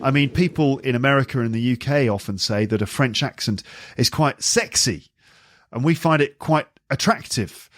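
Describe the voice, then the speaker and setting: A man's voice: medium pitch, moderate pace, fairly bright tone, no room sound, clean studio sound. A man, teaching in a podcast excerpt, reassuring and emphatic. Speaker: English teacher.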